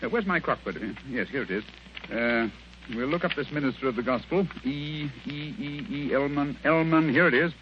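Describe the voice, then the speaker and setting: A man playing a brisk, shrewd, commanding detective in an old radio drama, with a crisp, theatrical British delivery. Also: mid-range voice, lightly acted. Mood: brisk, insistent. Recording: muffled, hissy vintage radio recording.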